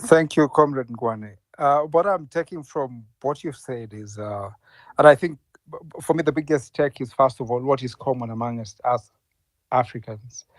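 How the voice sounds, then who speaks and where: A man hosting an online panel discussion, earnest and halting, stumbling over his words. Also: medium pitch, dry recording. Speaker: host.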